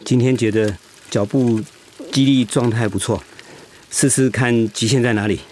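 An actor playing a determined, encouraging male cancer patient in a Mandarin radio drama, with a husky voice. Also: medium pitch, steady tone, normal speed, a little mellow, a little hiss or hum, lightly acted. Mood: steady, resolved.

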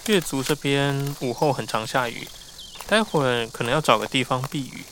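A man acting as a calm, cautious guide in an audio drama, explaining things in a matter-of-fact way. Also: mid-range voice, normal speed, a little hiss or hum, natural voice. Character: calm, cautious male guide to a hidden tribe's land. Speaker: voice actor.

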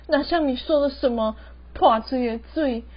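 A spoiled but meek young Taiwanese daughter-in-law from the city , played by a young woman, whining and pleading. Her voice is high. The amateur radio play has dull, lo-fi sound. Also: normal speed. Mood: tearful, defensive. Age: young adult. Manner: whiny, sprinkles English words into speech. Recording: dull, lo-fi recording.

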